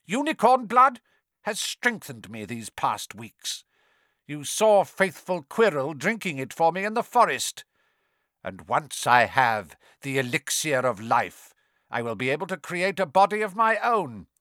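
A man voicing a cruel, snake-faced dark wizard with a high, hissing, snarling voice in an audiobook. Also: very animated, unhurried, no echo, clean studio sound, full character voice. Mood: sinister. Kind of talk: dramatic audiobook character voice.

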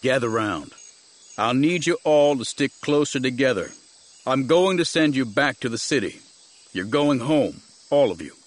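A man voicing a grim, stern warrior-monk master in an audiobook, low and tersely commanding. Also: expressive, measured pace, lightly acted.

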